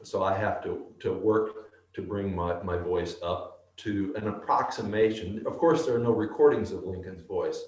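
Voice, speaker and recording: A male actor with a deep bass voice, answering interview questions slowly and thoughtfully, with many pauses and restarts. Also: darkish tone, reverberant. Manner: slow, thoughtful, halting.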